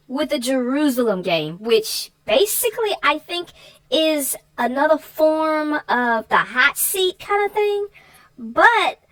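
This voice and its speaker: A woman casually discussing a children's story, animated and walking through points one by one. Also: high-pitched, rough recording. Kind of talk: casual chat about a children's book.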